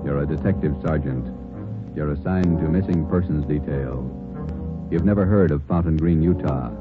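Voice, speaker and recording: A man's voice: a bass voice, normal speed. A man announcing a 1940s radio drama in a solemn, terse, portentous style. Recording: tinny, noisy old radio recording. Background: dramatic brass music.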